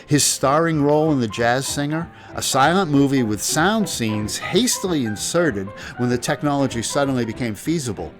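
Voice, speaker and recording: A man's voice: medium pitch, animated, moderate pace, few pauses. A man giving scripted review commentary as the host of a program on old-time radio. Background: music.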